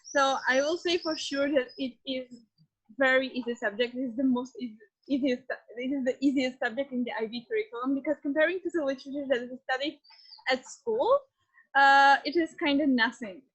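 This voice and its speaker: Hesitant young woman, high voice. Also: brisk, fairly bright tone, breathy edge, low-quality recording. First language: Armenian. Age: young adult. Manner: hesitant, many fillers and restarts. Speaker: student explaining a course. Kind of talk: informal explainer talk to prospective students.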